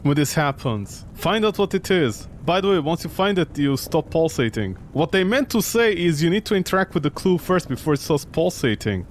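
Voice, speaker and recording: A man's voice: a little high, sing-song, moderate pace, few pauses, slight background noise. A man doing live game commentary, talking to himself in a sarcastic, exclamatory way. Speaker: gaming streamer.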